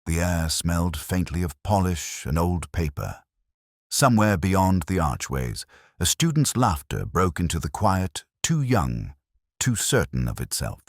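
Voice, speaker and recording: A man reading a first-person mystery story in a measured, reflective way. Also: low-pitched, sing-song, no echo, studio quality. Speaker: narrator.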